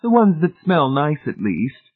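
Guy doing a fussy sanctimonious older lady for an audiobook, high voice, real swoopy and genteel. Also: very animated, moderate pace, breathy edge, phone-quality sound. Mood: prim, self-satisfied. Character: prim, sanctimonious, gullible older woman. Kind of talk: audiobook character voice.

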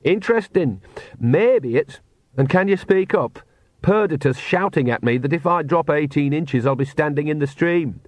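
A man's voice: fairly high pitch, animated, moderate pace, no room sound, voice pushed up, lightly acted. A man voicing an anxious, stubborn young witch woman with a strained, indignant manner. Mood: strained, exasperated.

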